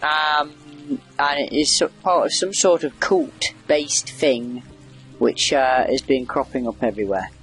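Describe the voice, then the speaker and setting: A man's voice: medium pitch, measured pace, a bit bright, husky, faint music behind, rough recording. A man giving casual, rambling video game commentary, wry and chatty as he reacts aloud. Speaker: gamer doing a video game playthrough.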